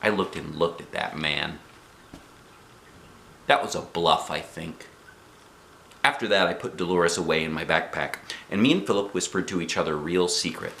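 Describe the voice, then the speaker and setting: A man reading a children's story aloud in an expressive, playful, animated manner. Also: low-pitched, moderate pace, gravelly, pauses often, roomy sound, slight background noise. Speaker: storybook reader.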